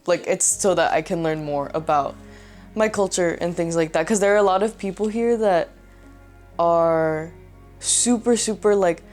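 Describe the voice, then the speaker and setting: Young woman, low register, relaxed filler-heavy interview talk over soft music. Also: normal speed. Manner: chatty, lots of filler words. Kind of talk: casual documentary interview.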